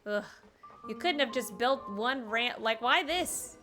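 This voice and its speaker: A woman's voice: a little high, animated, measured pace, pushed and bright, faint music behind. A woman streaming a game, giving casual live commentary with playful, wry asides.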